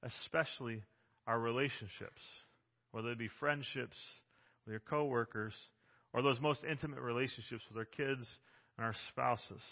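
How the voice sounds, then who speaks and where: A man in his forties preaching a sermon as pastor, loud and emphatic, with frequent restarts, recorded on a microphone in a church. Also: medium pitch, fairly even intonation, normal speed. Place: church sanctuary. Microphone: handheld or stand microphone. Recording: dull sound with long hall reverb.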